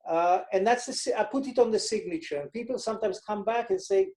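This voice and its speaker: A man's voice: high voice, fairly quick, a little mellow. A man from Israel with an Israeli accent, a financial advisor explaining his methods in a casual interview and repeating words for emphasis.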